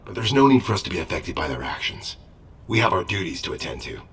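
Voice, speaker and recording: Dutiful male adjutant, low voice, formal, deferential, earnest, game dialogue, slightly distant with background noise. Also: very animated, a bit bright, exaggerated voice. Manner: formal, respectful, measured. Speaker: military adjutant character in a video game.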